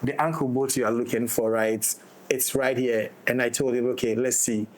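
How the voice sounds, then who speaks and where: A man's voice: medium pitch, steady tone, moderate pace, poor audio. A man talking reflectively as a director in a casual roundtable, often repeating and rephrasing his phrases. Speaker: audio drama director.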